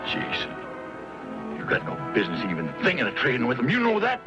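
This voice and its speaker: An actor playing a gruff, distrustful old frontiersman with folksy backwoods speech in an old western radio drama. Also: mid-range voice, huge pitch swings, fast. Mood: scolding. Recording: muffled radio recording with music behind.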